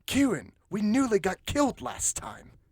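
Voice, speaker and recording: A man's voice: high voice, wildly swooping pitch, measured pace, slightly rough, dry recording, clean studio sound, full character voice. An actor playing a blunt, exasperated but caring young male adventurer in an audiobook. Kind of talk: audiobook character acting.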